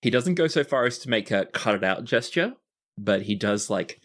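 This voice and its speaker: A man's voice: lowish voice, expressive, normal speed, fairly bright tone. A man narrating a tabletop roleplaying game as game master, describing scenes in a conversational way.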